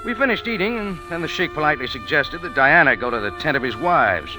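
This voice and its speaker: A man's voice: fairly high pitch, animated, fast, natural voice, poor audio. An actor playing a wry, tough American cafe owner and sleuth, telling his story in punchy hardboiled radio-drama style. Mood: wry storytelling. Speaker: lead character and narrator. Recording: old radio recording with background music.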